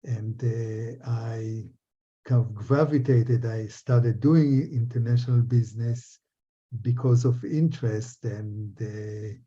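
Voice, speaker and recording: An older man from Israel answers interview questions reflectively. His voice is very dark and husky, and he speaks haltingly, circling back over his words. The recording sounds dull and lo-fi. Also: mid-range voice, fairly even intonation. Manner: halting, repeats words and restarts often. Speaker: interviewee, business professor.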